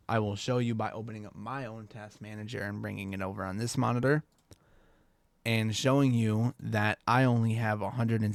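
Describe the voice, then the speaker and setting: A man's voice: medium pitch, darkish tone, dry recording. Stuffy-voiced young man, dry close sound. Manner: rambling, laid-back, stumbles and laughs at own slips. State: stuffy, congested voice. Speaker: tech tutorial creator. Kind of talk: casual screen-recorded tutorial.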